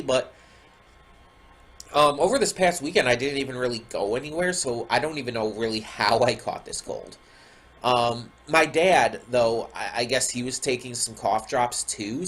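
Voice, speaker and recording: Stuffy-nosed man chatting casually. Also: mid-range voice. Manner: rambling, many ums and restarts. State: stuffy nose, slight sore throat. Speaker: reaction video host. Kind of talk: casual chat to camera. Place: home room with a window.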